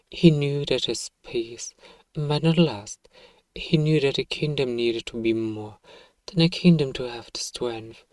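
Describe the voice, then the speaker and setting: A man playing an evil emperor who is a soft-spoken, paternal and self-righteous father, speaking gently and formally in an ASMR bedtime role-play. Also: mid-range voice, no echo, lightly acted. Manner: gentle, measured, formal, persuasive.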